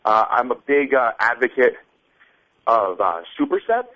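A man of thirty, a guest on a radio show, calmly explaining his workout methods step by step. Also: medium pitch, unhurried, highs cut off. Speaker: fitness guest. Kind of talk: casual interview answers.

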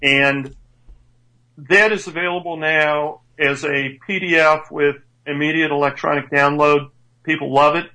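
A man's voice: on the high side, steady tone, a little slow, sharp tone, rough recording. A man in his fifties, a strength coach, chatting enthusiastically on a radio show and stumbling into restarts now and then. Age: 50s. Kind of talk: casual radio show chat.

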